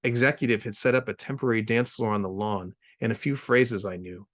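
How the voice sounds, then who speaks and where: A man's voice: fairly low pitch, steady tone, fairly quick. A man introducing and reading his own short story as its author, in the style of a literary reading. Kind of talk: informal spoken introduction, then literary reading. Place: remote video reading series.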